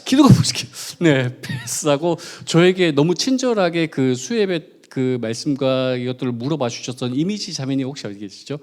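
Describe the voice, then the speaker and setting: A man's voice: mid-range voice, expressive, normal speed, hardly any pauses. A man preaching at a midweek church service, chatty and playful, joking with the congregation and asking them questions. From Gunwi, North Gyeongsang, South Korea. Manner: playful, chatty, jokes and quizzes the audience. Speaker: pastor. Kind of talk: sermon with congregation interaction.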